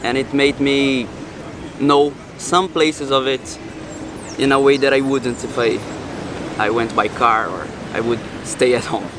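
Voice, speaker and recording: A man's voice: medium pitch, fairly even intonation, a little slow. A man from Rio with a Brazilian Portuguese accent, giving earnest opinions in a street interview, hesitating with "uh.". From Rio de Janeiro, Brazil. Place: city street outdoors. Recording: street with traffic noise.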